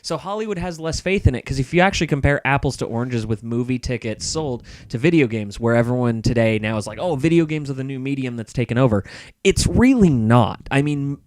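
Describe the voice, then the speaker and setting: A man's voice: mid-range voice, lively intonation, fairly quick, a little mellow, dry recording. A man talking casually as a podcast guest, explaining things conversationally. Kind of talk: casual chat.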